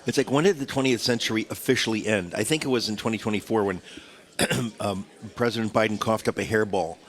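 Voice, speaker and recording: Man, stuffed-up voice, radio host, rambling casual chat, somewhat low pitch. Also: brisk, fairly bright tone. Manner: rambling, chatty, many restarts. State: stuffed up with a cold. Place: radio studio.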